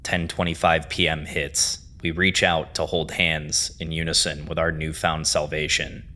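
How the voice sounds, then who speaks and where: Male narrator, extremely deep pitch, crisp forceful edge, dramatic scripted storytelling, deliberate pauses. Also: little pitch movement. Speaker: narrator and host of a horror series review.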